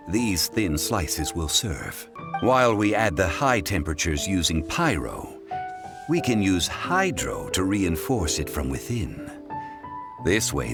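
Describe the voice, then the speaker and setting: A man voicing a calm, learned, dignified consultant in a fantasy video game, speaking in a measured, formal way. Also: deep voice, full character voice. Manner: measured, formal, deliberate delivery.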